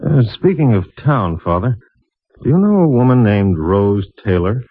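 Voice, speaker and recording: An actor playing a plain-spoken, breezy American investigator with a blunt, casual manner, in a 1950s radio drama. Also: male voice, lowish voice, expressive, moderate pace, slightly rough, dry recording. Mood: matter-of-fact, probing. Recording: muffled, narrow-band vintage radio recording.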